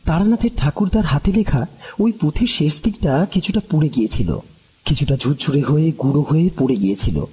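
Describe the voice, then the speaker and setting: A man's voice: a little high, lively intonation, a little fast, mellow, slightly rough. A man narrating a first-person story in a dramatic audio storytelling style.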